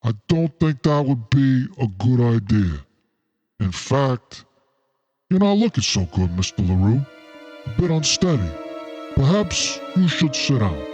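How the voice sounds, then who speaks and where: A man's voice: medium pitch, very animated, a little slow, a little mellow, faint music behind. A man acting a suave, scheming noir crime boss. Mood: veiled menace. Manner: genteel, formal, faintly menacing. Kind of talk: noir radio-play acting.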